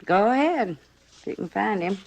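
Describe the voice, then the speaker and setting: An actress playing a blunt, deadpan middle-aged woman who runs a small hotel, in a 1950s radio drama. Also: medium pitch, normal speed, creaky. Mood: sour. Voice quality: curt, deadpan, ungrammatical talk.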